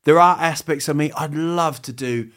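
An English comedian in his fifties talks about himself with a rambling, self-mocking flow. He keeps stopping and restarting, and slips in quick put-on voices for his jokes. His accent is English, with a faint New Zealand touch. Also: on the high side, a bit bright. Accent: English with a bit of New Zealand. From England. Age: 50s. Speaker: comedian, interview guest. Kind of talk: candid, self-mocking interview chat.

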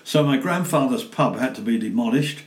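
A man's voice: medium pitch, expressive, a little fast. A man, chatting as a podcast guest and telling stories with a cheeky chuckle. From England. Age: 90s. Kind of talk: casual chat. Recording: echoey kitchen with faint noise.

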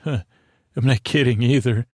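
A man hosting a podcast, improvising a rambling bedtime monologue in a halting, stammering, whimsical and self-deprecating way that keeps trailing off. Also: mid-range voice, dry recording, clean studio sound. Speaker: podcast host.

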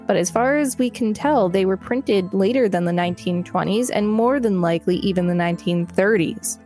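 A woman's voice: mid-range voice, normal speed, a little mellow. Woman podcast host reading aloud over music. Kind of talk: reading an encyclopedia article aloud with commentary.

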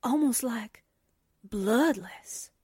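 A woman performs a naive female sidekick from a vintage radio mystery. Her high voice moves slowly and hesitantly, with wide-eyed drama. The sound is dry and close. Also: expressive, a little mellow, no room sound. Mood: nervous. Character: excitable, naive, movie-struck female reporter's assistant. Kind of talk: 1940s radio drama acting.

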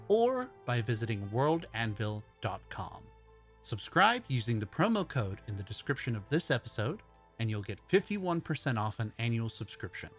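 A man's voice: mid-range voice, a bit bright. A man delivering a scripted sponsor read for a podcast. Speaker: podcast writer and voice actor.

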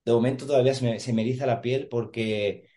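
A man's voice: medium pitch, steady tone, fast, warm tone. Earnest, hesitant man from Madrid. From Madrid, Spain. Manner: hesitant, many fillers and repeated words, earnest. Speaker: podcast guest, police officer and emotional coach. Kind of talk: casual conversational interview. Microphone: video call.

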